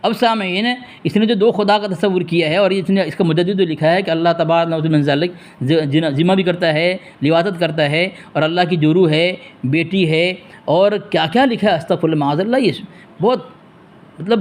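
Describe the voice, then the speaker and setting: A man's voice: fairly high pitch, moderate pace. A man in a heated religious debate, speaking in a combative, scolding and repetitive way as he argues and addresses listeners.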